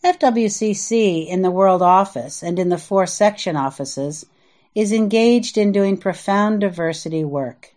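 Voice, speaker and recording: An American woman from the Midwest reading her own reflective essay aloud in a measured, earnest manner. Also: medium pitch, darkish tone. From US Midwest (Indiana and Iowa). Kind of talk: reflective scripted narration.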